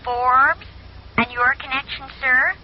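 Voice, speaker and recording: A woman's voice: high-pitched, takes it slowly, dull audio. A woman acting a polite, formal clerk taking a report through a telephone filter in a 1950s radio drama. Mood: officious. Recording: thin phone effect on old radio.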